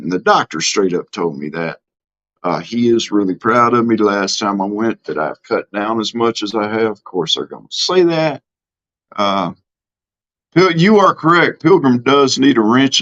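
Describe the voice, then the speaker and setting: A man's voice: lowish voice, a bit bright, dull audio. A middle-aged man from Mississippi with a Southern accent, chatting casually on his live stream and answering comments in a plainspoken, drawling way with many ums and restarts. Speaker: livestream host.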